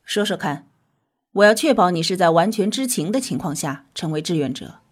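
Exacting scientist, firmly questioning. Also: female voice, on the low side, lively intonation, moderate pace, breathy edge. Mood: stern questioning. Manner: questioning, commanding. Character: probing, exacting middle-aged Indian scientist doctor. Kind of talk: Chinese science fiction audio drama acting.

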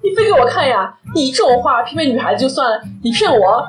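A woman's voice: high-pitched, sing-song, quick pace. A woman co-hosting a casual film chat, giving opinionated commentary and mixing in English words. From Shanghai, China. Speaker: podcast co-host. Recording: clear music behind the talk. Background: background music.

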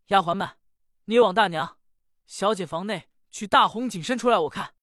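A woman's voice: medium pitch, moderate pace, no echo, lightly acted. Storybook patriarch, an enraged old man barking at his family, acted by a woman narrator. Close, dry sound. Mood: stern. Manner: scolding, shouting outbursts. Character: furious old male squire, head of house.

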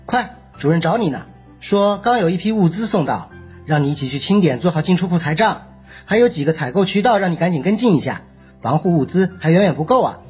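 A brisk male colleague, played by an actor, relays urgent orders in a radio drama. His voice is very husky and a little high, heard in dull, lo-fi sound over faint music. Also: lively intonation, darkish tone, exaggerated voice. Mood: urgent, businesslike.

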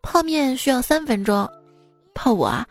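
Playful young woman, high voice over music. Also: animated, normal speed, no echo. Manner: playful, teasing, theatrical delivery. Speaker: host of a comedy joke show. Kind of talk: chatty humorous monologue over music. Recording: music bed behind the voice. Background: background music.

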